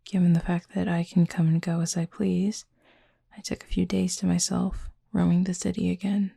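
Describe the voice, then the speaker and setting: A woman voicing a gentle, formal cupid roommate in an intimate roleplay audio, soft, breathy and husky, speaking in a measured, earnest way. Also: lowish voice, level tone, no room sound, studio quality, full character voice. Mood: curious, reserved.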